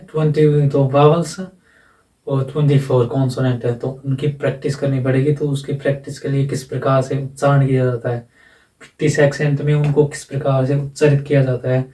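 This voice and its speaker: A man gives a casual, plain lesson as a voice-over, asking questions and then answering them himself. His voice is mellow and warm. He sits a little back from the mic. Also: mid-range voice, distant mic, rough recording. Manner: plain, repetitive explaining with rhetorical questions. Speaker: English teacher. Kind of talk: casual explanatory lecture. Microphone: voice-over, face not shown.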